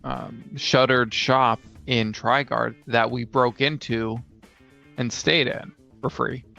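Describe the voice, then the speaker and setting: A man with a medium-pitched, gravelly voice talks casually as a game player. His delivery is considered and fairly flat in intonation. The audio is a little lo-fi, with faint guitar music behind him. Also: takes it slowly. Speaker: tabletop game player, podcast co-speaker. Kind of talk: casual chat.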